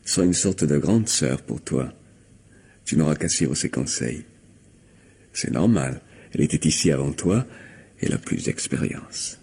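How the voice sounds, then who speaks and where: An actor playing a cruel, domineering and manipulative lover in a radio mystery drama, commanding and mocking. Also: male voice, a bass voice, lively intonation, a little slow, creaky, long pauses, darkish tone, slightly rough, heavily acted. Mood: cold commanding.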